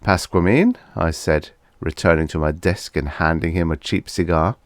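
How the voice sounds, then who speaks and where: A man's voice: extremely low pitch, little pitch movement, normal speed, no echo, studio quality. A man reading vintage fiction aloud as an audiobook narrator, in a scripted storytelling style.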